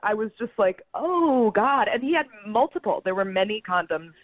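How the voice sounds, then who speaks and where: A young woman talks candidly on the phone to a radio show, her pitch swinging in a lively way. She is casual and conversational. The call sounds slightly muffled and dry. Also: moderate pace, no room sound. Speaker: person called by radio show.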